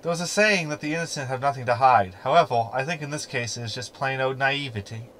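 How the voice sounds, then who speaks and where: A man's voice: mid-range voice, fast talker, a bit bright, slight background noise, natural voice. A man playing a snarky, meddling hologram in a sci-fi sitcom audio drama, talking in a glib, verbose, sardonic way. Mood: smug. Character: snarky, meddling male hologram ship companion.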